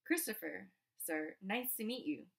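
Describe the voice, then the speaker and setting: A woman's voice: mid-range voice, lively intonation, measured pace, gravelly, a bit bright. A woman voicing a polite, hesitant teenage boy in an audiobook story. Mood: nervous, polite.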